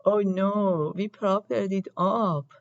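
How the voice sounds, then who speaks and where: Woman as anxious small storybook child, slow-spoken. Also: mid-range voice, muffled recording.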